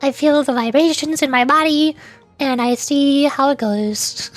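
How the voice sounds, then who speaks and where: A woman livestreamer chatting casually, thinking aloud in a halting, giggly way. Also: sits high, no echo, faint music behind. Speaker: streamer, VTuber.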